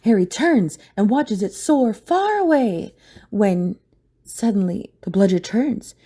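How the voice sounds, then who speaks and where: A woman's voice: mid-range voice, lively intonation, takes it slowly, dark tone, breathy edge. A woman narrator reading a scripted story dramatically for an audio play.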